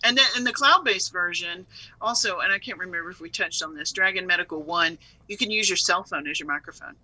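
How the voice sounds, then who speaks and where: A woman speaking as a guest in a casual live video interview, lively and playful in manner. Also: fairly low pitch, quick pace, no echo, rough recording. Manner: lively, playful, laughs easily. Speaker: software trainer and company head.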